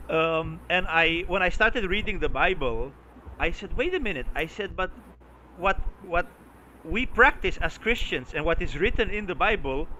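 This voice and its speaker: A man's voice: sits high, expressive, moderate pace, pushed and bright, highs cut off, slight background noise. A man from Romania in a casual conversation, often stammering and repeating words as he searches for them. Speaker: vlogger chatting with a stranger.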